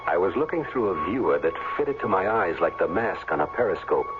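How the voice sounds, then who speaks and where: A man's voice: deep voice, big pitch swings, a little fast. A man acting a wry, curious ex-football player who narrates and talks in a casual way in a 1970s radio drama. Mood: tense, horrified. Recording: tinny vintage radio over music.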